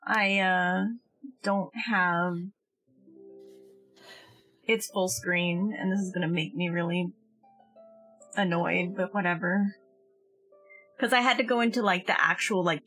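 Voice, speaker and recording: A woman's voice: on the low side, fairly bright tone, husky, low-quality recording. A woman chatting casually and swearing freely as she live streams a game.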